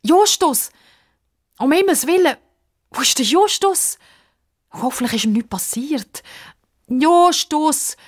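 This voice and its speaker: Woman as a high-voiced, feisty little girl. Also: expressive, takes it slowly, long pauses. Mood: panicked. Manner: exclaiming, emphatic, childlike. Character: feisty, stubborn young girl, excitable and anxious. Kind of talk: children's radio story character acting.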